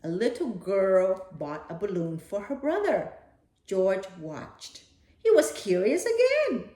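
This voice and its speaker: A woman's voice: mid-range voice, very animated, takes it slowly, dark tone, echoey room. A woman hosting a children's read-aloud, speaking in simple, direct phrases as if to young listeners. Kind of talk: expressive storybook read-aloud and talk addressed to children.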